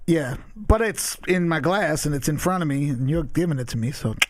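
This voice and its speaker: A man in his forties from Long Island with a raspy voice, rambling loosely and swearing freely as a podcast guest. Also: on the high side, lively intonation, brisk, darkish tone, dry recording. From Long Island, New York. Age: 40s. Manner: loose, profane, laid-back rambling. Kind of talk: casual chat.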